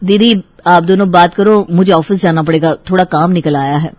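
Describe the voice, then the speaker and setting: A woman's voice: fairly low pitch, steady tone, moderate pace, a little mellow, no echo, lightly acted. A woman voicing an upbeat young fiancé in an audio story, eager to impress. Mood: casual.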